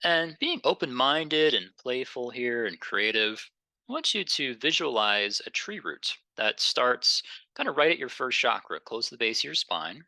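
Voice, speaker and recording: A man leading a guided meditation in a gentle, unhurried, conversational way, pausing between phrases. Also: mid-range voice, sing-song, no echo, poor audio.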